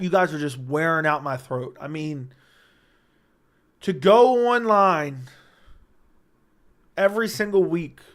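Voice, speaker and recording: A man with a slightly high, somewhat raspy and worn voice hosts a casual livestream. He reads viewers' questions aloud and answers them offhand, joking along the way. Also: a bit bright. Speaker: livestream host reading chat.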